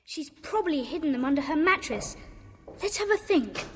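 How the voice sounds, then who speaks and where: A woman's voice: high voice, animated, moderate pace, soft background music. A woman playing a bold, bossy, eager schoolboy detective in a children's audio drama. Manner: eager, urgent, exclamatory. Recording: long echo of a stone dungeon.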